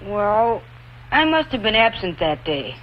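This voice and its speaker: A woman's voice: medium pitch, very animated, unhurried. A woman's-range voice playing a sheepish schoolboy who hesitantly makes excuses in a 1950s instructional film. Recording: dull, noisy old 1950s film soundtrack.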